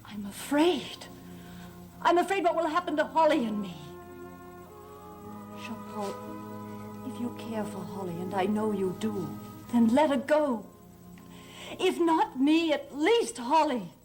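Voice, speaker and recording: A woman's voice: fairly high pitch, lively intonation, measured pace, pauses often, darkish tone, soft background music. An actress playing a fearful, accusing mother in a gothic soap opera, fretful and melodramatic. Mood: fearful, pleading.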